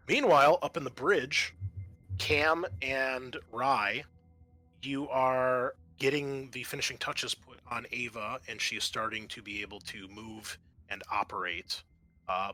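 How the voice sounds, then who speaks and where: Bright-voiced male game master. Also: mid-range voice. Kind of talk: narrating a tabletop game session.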